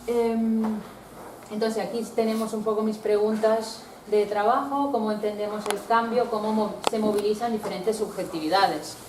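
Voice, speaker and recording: A woman from Italy gives a talk, presenting slides and reflecting as she goes. She hesitates often, filling gaps while she searches for words. Her voice comes from a distance, in a reverberant room with steady background noise. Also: medium pitch, moderate pace. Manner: thoughtful, searching for words with many fillers. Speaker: researcher giving a talk.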